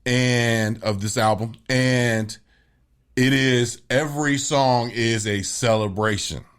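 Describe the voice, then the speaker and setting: A man's voice: mid-range voice, steady tone, takes it slowly, fairly bright tone, smooth. A man co-hosting a casual album review podcast, playful and hype, repeating words for emphasis. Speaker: podcast co-host.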